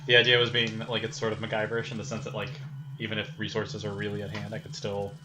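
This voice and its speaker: A man's voice: lowish voice, monotone, fast. A man talking casually as a player in a tabletop role-playing game. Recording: noisy group recording with room ambience.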